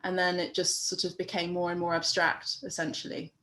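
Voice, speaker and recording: A woman with a London accent talks over a video call as a guest artist. Her speech is loose and rambling, full of fillers and quiet laughs. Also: lowish voice, monotone, brisk. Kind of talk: casual interview chat.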